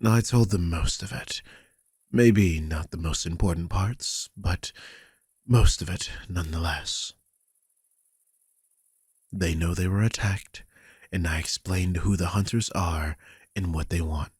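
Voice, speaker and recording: A man's voice: low voice, slow pace, pauses often, darkish tone, no room sound, studio quality, exaggerated voice. A man playing a worried, guilty vampire king who is protective of his charge, confiding wearily in an audio roleplay. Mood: defensive, troubled. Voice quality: husky, breathy. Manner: confiding, weary, sighing, swears. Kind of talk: dramatic audio roleplay, one side of a conversation.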